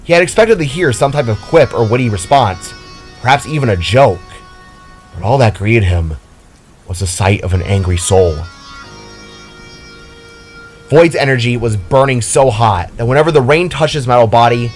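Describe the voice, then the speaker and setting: A man's voice: mid-range voice, expressive, moderate pace, soft background music. A man reading scripted fiction as a dramatic story narrator.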